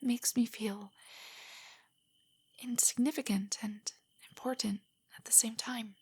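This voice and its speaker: A woman playing a shy, nerdy young girlfriend in an intimate audio roleplay, soft and breathy, stammering with nerves. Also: fairly high pitch, fairly even intonation, measured pace, full character voice. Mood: awed, soft. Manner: nervous, stammering, hesitant rambling. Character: shy, nerdy, easily flustered young adult girlfriend on a date.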